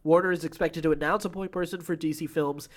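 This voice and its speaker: Man, fairly high pitch, podcast co-host, casual entertainment news chat. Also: fairly even intonation, fast.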